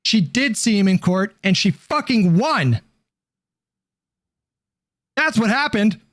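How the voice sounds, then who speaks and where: A man's voice: sits high, animated, slow, fairly bright tone, no echo, clean studio sound. A man ranting in heated online debate talk, exasperated and swearing.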